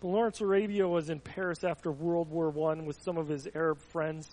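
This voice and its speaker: A man's voice: a little high, fairly even intonation, brisk, a little mellow, poor audio. A man preaching a sermon, telling everyday stories as illustrations, with frequent stumbling restarts.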